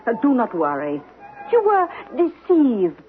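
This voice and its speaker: An actress playing a scheming, confident woman accomplice, calm and soothing with formal phrasing, in old radio drama style. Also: on the high side, unhurried. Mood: reassuring. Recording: muffled old radio recording with music.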